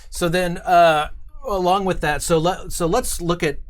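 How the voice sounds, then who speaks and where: A man's voice: high voice, fairly even intonation, a little slow. Chatty man hosting a casual stream. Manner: informal, chatty. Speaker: show host. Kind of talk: casual live-stream interview. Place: studio.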